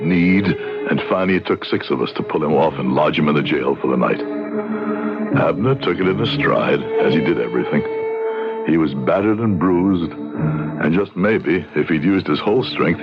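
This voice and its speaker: An actor playing a frontier judge who narrates in a deliberate, dramatic old-time radio style. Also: male voice, very deep voice. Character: male frontier judge recalling events, measured storyteller. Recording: muffled radio drama with loud music.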